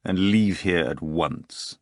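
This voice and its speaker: A man doing a slow, imperious dark lord. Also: deep voice, no room sound, studio quality, voice pushed down. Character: cold, commanding male prince of darkness.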